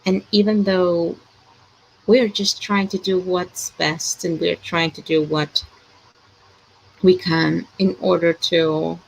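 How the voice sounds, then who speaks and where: A woman hosts a casual livestream with a low, gravelly voice. She sounds worried and hesitant, sighing between thoughts. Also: level tone, slow pace, a little hiss or hum, low-quality recording. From Ecuador. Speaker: host of a live chat.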